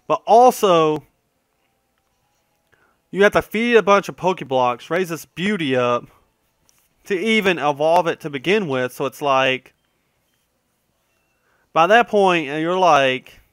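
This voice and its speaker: A man's voice: a little high, animated, slow, pauses often, a bit bright, smooth, no echo, clean studio sound. A man giving casual, rambling gaming commentary, conversational and opinionated, often trailing off and correcting himself. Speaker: gaming video host.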